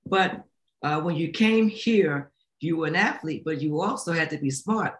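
A man's voice: on the high side, moderate pace, fairly bright tone. A man hosting a podcast, politely asking a guest questions with hesitant fillers. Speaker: podcast host. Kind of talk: interviewing a guest. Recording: echoey room.

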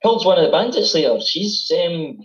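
A man's voice: high voice, expressive, normal speed, crowd noise. A man speaking as a guest in a casual chat, commenting while they watch an episode, with easygoing hesitations. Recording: roomy, dull-toned recording.

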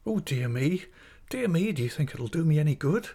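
A man's voice: fairly high pitch, animated, moderate pace, warm tone, slightly rough, pitched above the performer's own voice. A man voicing a meek, nervous young widowed mother in an audiobook, timid, faltering and plaintive. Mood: trembling, helpless. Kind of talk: audiobook character voice.

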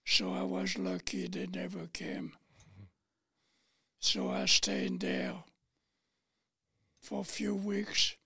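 A man in his late nineties with a French accent tells his own story plainly and haltingly. His voice is high and very husky, breaking into heavy fry. He takes his time between phrases as an interview guest. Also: wildly swooping pitch, pushed and bright, no room sound, studio quality. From Paris, France. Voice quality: raspy, thin, breathy. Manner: plain, halting storytelling in non-native English. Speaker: interview guest, war veteran. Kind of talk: recounting personal memories in interview.

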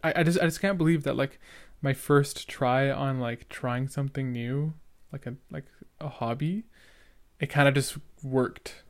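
A young man with a noticeable stutter talks through his thoughts in a casual, rambling way. He sounds earnest and leans on fillers as he comments on an old recording of himself. His voice sits at an ordinary pitch. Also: fairly even intonation, measured pace. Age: young adult. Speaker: podcaster reacting to his own episode.

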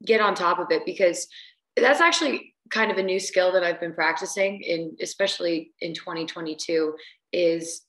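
A woman's voice: lowish voice, normal speed, fairly bright tone, echoey room, rough recording. A woman singer guesting on a podcast, talking casually with hesitant restarts and fillers. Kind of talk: casual interview chat.

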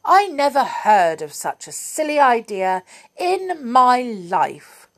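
A woman voicing a cross old witch who scolds loudly and scorns the idea of fuss over animals, reading from a storybook. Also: mid-range voice, big pitch swings, a little slow. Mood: scornful. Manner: loud, scolding, indignant.

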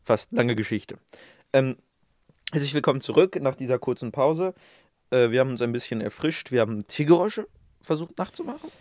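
A man's voice: mid-range voice, big pitch swings, fairly quick, a little mellow, dry recording, clean studio sound. A man co-hosting a casual podcast, rambling and self-mocking, with plenty of fillers. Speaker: podcast co-host.

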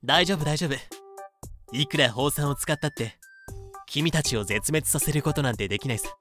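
A man playing a self-important, calmly confident young would-be god, explaining smugly in a fan-made comedic audio drama. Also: on the high side, soft background music, natural voice. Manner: smug, measured explaining.

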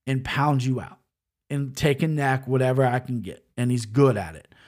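A man breaking down fight betting lines in a casual way. He is brash and profane, and he rambles. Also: mid-range voice. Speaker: sports betting picks host.

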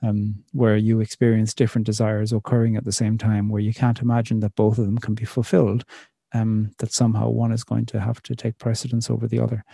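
Gravelly, flat-voiced man. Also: fairly low pitch, no room sound, clean studio sound. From Ireland. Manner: thoughtful, measured, many pauses and fillers. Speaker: poet, interview guest. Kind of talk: reflective conversational interview.

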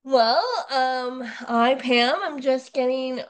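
Nasal woman, slow and high. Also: fairly even intonation, clean tone. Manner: hesitant, many fillers and restarts. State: getting over a cold, nasal. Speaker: lactation consultant guest. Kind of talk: casual podcast conversation.

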